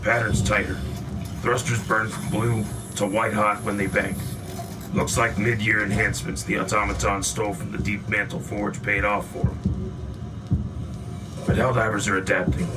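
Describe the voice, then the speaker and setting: A man's voice: fairly low pitch, natural voice, low-quality recording. A man playing a wry military frontline correspondent, giving a scripted field report in terse, dry-humored phrases.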